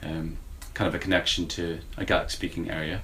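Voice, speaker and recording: A man in his forties from the United States with a slightly Scottish-tinged American accent, answering interview questions thoughtfully and with many pauses. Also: low-pitched, flat delivery, bright, forceful tone. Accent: American with Scottish influence. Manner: thoughtful, hesitant with many fillers. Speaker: university lecturer.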